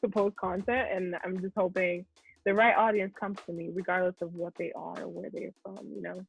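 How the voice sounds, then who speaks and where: A woman's voice: on the low side, fairly even intonation, brisk, vocal fry, music in the background. A Nigerian woman guest, a content creator, chatting openly in an interview and often restarting her sentences. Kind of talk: casual interview chat. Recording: tinny phone-like line.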